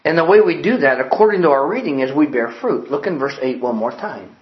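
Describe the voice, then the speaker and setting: A man's voice: a little high, animated, brisk. A man preaching a sermon in an earnest, emphatic way, repeating his key phrases and quoting old-fashioned scripture wording. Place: church building. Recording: echoey, dull lo-fi sound.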